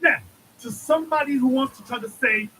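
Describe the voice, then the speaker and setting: A man's voice: very high voice, a little fast, pushed and bright, breathy edge, poor audio. A man giving an emphatic political monologue, preaching and repeating lines for effect. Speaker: commentator.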